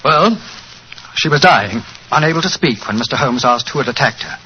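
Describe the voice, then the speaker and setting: An actor playing a composed, polite gentleman in a 1940s radio mystery. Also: mid-range voice, animated, moderate pace. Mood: composed, solemn. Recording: noisy, muffled 1940s radio recording.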